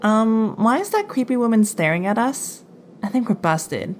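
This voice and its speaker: A woman's voice: fairly high pitch, big pitch swings, moderate pace, slight background noise. A woman playing a skeptical, exasperated young superhero in an audio drama, dry and nervy, as if always bickering. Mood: anxious, doubtful.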